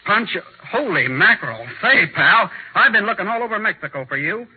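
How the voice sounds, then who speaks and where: An actor playing a breezy, wisecracking young American newspaper reporter in 1930s radio acting. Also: male voice, high-pitched, sing-song, fairly quick, husky, heavily acted. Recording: tinny old radio broadcast.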